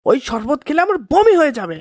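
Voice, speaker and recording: A woman's voice: high-pitched, big pitch swings, quick pace, darkish tone, no room sound. A woman voicing a scornful young schoolboy, a rude older brother, in a children's cartoon. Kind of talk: children's moral cartoon voice acting.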